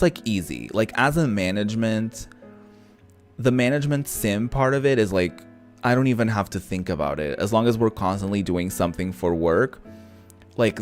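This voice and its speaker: A man doing casual let's-play commentary, thinking out loud with some mild swearing over background music. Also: lowish voice. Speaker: gaming host. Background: background game music.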